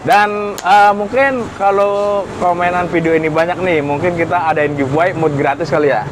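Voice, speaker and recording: A man's voice: high voice, normal speed, noisy background. A man with an Indonesian accent chatting casually as he explains gear to viewers, in an informal, agreeable way. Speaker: vlogger and intercom modification technician.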